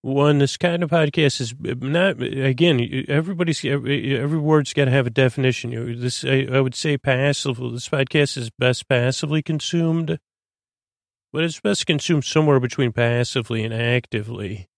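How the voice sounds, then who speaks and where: Creaky-voiced man, rambling bedtime podcast host. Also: mid-range voice, moderate pace, no echo, clean studio sound. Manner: meandering, stammering, trails into tangents; soothing, lulling delivery.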